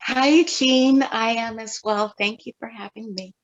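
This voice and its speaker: A middle-aged woman from California, a photographer answering interview questions in a thoughtful, measured way with pauses. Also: fairly high pitch, fairly even intonation, muffled recording. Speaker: guest photographer.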